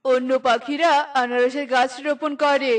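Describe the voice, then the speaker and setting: Woman acting a male neighbour bird, cartoon, high pitch, jeering, teasing, theatrical. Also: steady tone, normal speed, natural voice. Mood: mocking.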